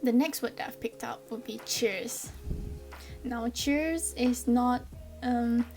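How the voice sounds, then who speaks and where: Young Malaysian woman vlogger, brightish voice, chatty, lots of ums, soft music under her. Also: fairly high pitch, slow pace. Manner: chatty, friendly, frequent ums. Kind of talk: casual explainer vlog.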